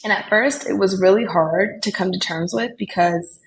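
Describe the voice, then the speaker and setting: A woman's voice: mid-range voice, moderate pace, vocal fry, slight background noise, low-quality recording. A young woman from California talking casually as a podcast guest, with lots of "like" and "you know". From Colombia, raised in California Bay Area. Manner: chatty, frequent "like" and "you know". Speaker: podcast guest, developer relations lead. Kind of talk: casual interview chat.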